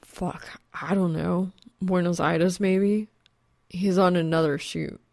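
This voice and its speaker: A woman voicing an exasperated, sweary young man who mutters and wheezes in a deadpan way. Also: on the low side, takes it slowly, gravelly, dry recording, studio quality. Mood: dazed, muttering.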